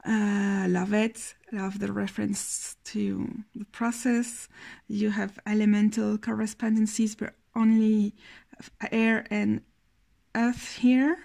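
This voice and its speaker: A woman's voice: medium pitch, flat delivery, slow, gravelly, mellow. A tired young woman with a Belgian French accent, a French speaker reviewing a tarot deck in English, hesitant and often searching for words. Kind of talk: casual video review.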